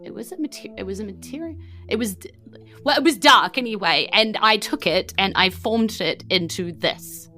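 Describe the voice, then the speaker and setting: A woman voicing a bitter, injured male garment designer in a tabletop game, defensive and halting as he speaks. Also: medium pitch, sharp tone, faint music behind, lightly acted. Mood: indignant, evasive.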